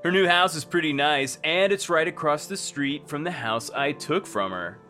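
An actor playing a smug, self-satisfied, heartless business bro in a comedy radio play. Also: male voice, on the high side, animated, normal speed, pushed and bright, soft background music. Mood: breezy, evasive.

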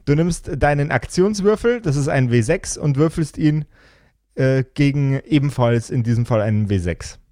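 A man narrating an improvised fantasy tabletop game as game master, with deliberate, theatrical phrasing and pauses, over faint noise. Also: medium pitch, animated, dark tone, dry recording, studio quality.

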